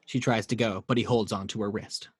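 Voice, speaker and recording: A man's voice: on the low side, fairly even intonation, a little fast, husky, no room sound. A man narrating the action lines of a screenplay at a home table read, reading steadily with small stumbles on a close microphone.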